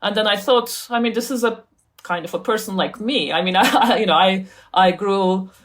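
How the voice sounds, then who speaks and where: A woman's voice: mid-range voice, normal speed. A woman from Turkey, an author and scholar, talking casually as an interview guest, thoughtful, laughing often and restarting her sentences.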